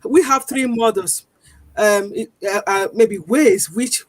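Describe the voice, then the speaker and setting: A woman with a Nigerian accent, speaking earnestly as a guest on a panel discussion, pausing often with ums. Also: medium pitch, dry recording. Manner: earnest, deliberate, frequent pauses with um.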